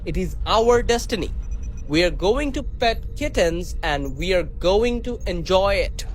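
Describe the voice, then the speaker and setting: Grandiose space captain, melodramatic, voice swoops around, slow delivery, comedy radio play. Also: male voice, high-pitched, lively intonation, a little hiss or hum. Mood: commanding. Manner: grandiose, commanding. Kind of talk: sci-fi comedy radio drama acting.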